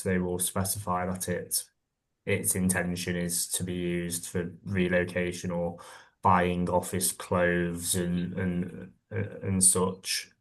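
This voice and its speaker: A young man answering conversationally as an apprentice on a webinar panel. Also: sits low. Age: young adult.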